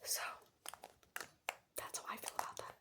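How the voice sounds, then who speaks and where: A teenage girl whispering in a breathy voice, rambling casually and wryly in an ASMR storytime style with youthful slang. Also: moderate pace. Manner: chatty, wry, youthful slang. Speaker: ASMR host showing products.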